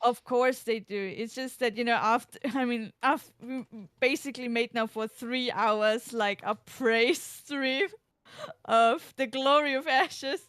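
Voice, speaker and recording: Woman, streamer, casual gaming chat, measured, smooth voice, dry close sound. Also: fairly high pitch, fairly even intonation, fairly bright tone, no room sound.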